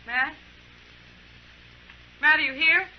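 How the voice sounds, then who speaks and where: A woman's voice: high-pitched, takes it slowly. An American woman acting a desperate, pleading young frontier woman in a radio western, urgent and emotional. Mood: pleading, insistent. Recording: dull, noisy old radio recording.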